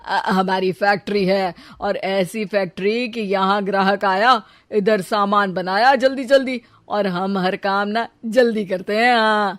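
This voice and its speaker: A woman's voice: medium pitch, steady tone, unhurried, hardly any pauses, fairly bright tone, dry recording, studio quality, close to an everyday voice. A woman voicing a boastful young male groom in a comic story, blustering and stammering out excuses. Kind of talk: animated storybook comedy acting.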